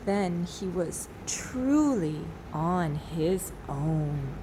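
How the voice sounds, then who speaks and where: A woman teacher reading a children's storybook aloud expressively, with dramatic pauses. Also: lowish voice, animated, noisy background. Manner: slow, dramatic pauses, asks listeners questions.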